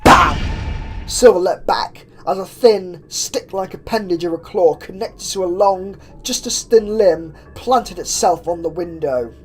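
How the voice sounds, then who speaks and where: A woman narrates a horror story in a theatrical, suspenseful way, with drawn-out phrases and hushed drops. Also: on the low side, faint music behind. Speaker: fan fiction narrator. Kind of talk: dramatic horror story reading. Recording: clear background noise with ambience.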